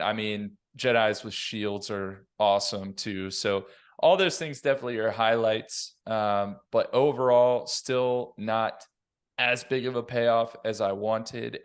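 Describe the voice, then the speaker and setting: A man's voice: on the low side, clean tone. A man on a podcast panel giving his opinions in casual conversation.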